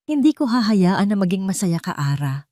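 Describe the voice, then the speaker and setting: A woman's voice: mid-range voice, sing-song, normal speed, dark tone, no echo, natural voice. Bitter, lovelorn Filipino rich son for melodramatic audio story, read by a woman, dry sound. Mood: bitter, vengeful. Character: bitter, heartbroken rich young man.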